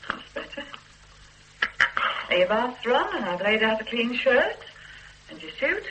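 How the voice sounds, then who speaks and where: A woman's voice: fairly high pitch, very animated, a little slow. An actress playing a fond mother who teases and coaxes her hungover grown son, in a radio drama. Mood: teasing. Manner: teasing and coaxing. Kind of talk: 1970s radio drama acting. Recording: old radio recording with faint hiss.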